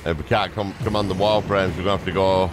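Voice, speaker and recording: A man's voice: deep voice, steady tone, fast. A man giving casual, reactive commentary as he plays a video game. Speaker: gaming video host. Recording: game music behind the voice.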